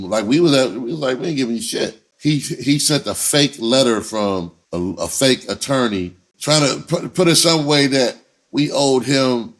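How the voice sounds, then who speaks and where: A man telling a personal story in casual, profane slang, repeating himself and acting out conversations as he goes. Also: mid-range voice. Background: music under the voice.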